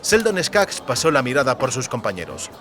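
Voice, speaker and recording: A man's voice: medium pitch, very animated, normal speed, a bit bright, a little hiss or hum. A man reading scripted narration for a fantasy audiobook.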